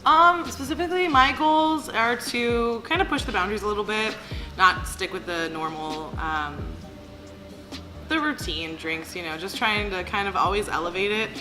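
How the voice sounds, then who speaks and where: A woman's voice: mid-range voice, moderate pace, sharp tone. A woman who manages a bar, talking eagerly and chattily in an interview, with plenty of ums and you knows. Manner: chatty, eager, many fillers. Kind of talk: casual interview. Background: background music.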